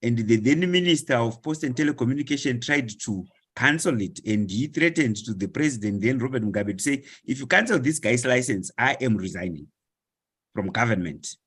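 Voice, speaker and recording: A man, slowly asking a question in an online discussion and reeling off long lists of words with many hesitations. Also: medium pitch, expressive, a bit bright, breathy edge. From Zimbabwe. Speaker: webinar participant.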